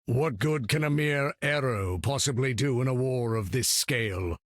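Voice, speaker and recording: A man's voice: medium pitch, normal speed, no room sound, studio quality, lightly acted. An actor playing an imperious, menacing superpowered man in a video game, speaking in a haughty, formal manner. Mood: disdainful.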